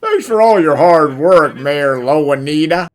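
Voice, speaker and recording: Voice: medium pitch, animated, measured pace, natural voice. An actor playing a friendly, encouraging townsperson in an anime-style fan dub. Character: friendly festival supporter encouraging the mayor.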